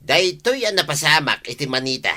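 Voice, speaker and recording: An actor playing a hot-tempered village man who grumbles and flares up quickly, in a radio comedy drama. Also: a little high, very animated, sharp tone, heavily acted. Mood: defiant. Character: hot-tempered village man fuming over his crops.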